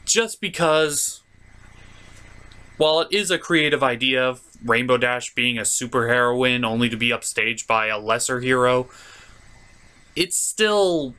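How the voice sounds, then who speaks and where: A man's voice: medium pitch, a little slow, bright, forceful tone, smooth. A man hosting a cartoon review show with theatrical, sardonic comedic commentary.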